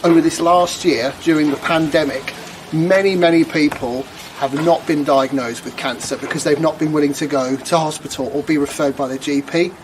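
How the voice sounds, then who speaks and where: Male fundraiser, personal video appeal, voice a bit high, light ambient noise in the recording. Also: fairly even intonation, moderate pace. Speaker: charity fundraiser. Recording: noticeable background ambient noise.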